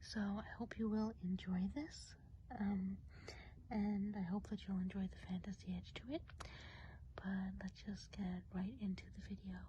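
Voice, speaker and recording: A woman speaking in a soft, breathy whisper, chatting casually as an ASMR host. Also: medium pitch, flat delivery, normal speed, lo-fi sound. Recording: faint background noise.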